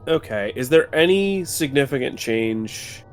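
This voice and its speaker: A man talking casually as a player at a tabletop game, measured and analytical, with some background noise. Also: medium pitch, lively intonation, faint music behind.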